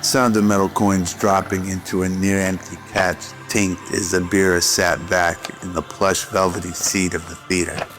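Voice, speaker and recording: Deep, even-toned male narrator. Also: normal speed, few pauses, faint music behind. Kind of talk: scripted audio drama narration.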